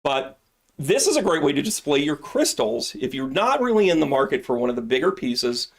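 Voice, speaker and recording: A man's voice: on the high side, sing-song, fairly quick. A middle-aged man hosting a live stream from his shop, showing off products in a casual, joking, rambling way. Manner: joking, rambling, salesy asides.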